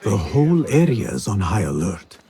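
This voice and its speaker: Casting: male actor as a cool young assassin, low gravelly voice with lively pitch, music underneath. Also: moderate pace, a crowd in the background. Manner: dry, quiet, understated remarks. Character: calm, cold assassin protagonist, young man. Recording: game audio with music behind.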